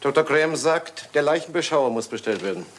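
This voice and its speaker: A man playing a troubled, urgent young gentleman, son of the house, in a German radio crime drama. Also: a little high, lively intonation, a little fast, close to an everyday voice. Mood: tense, controlled. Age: young adult.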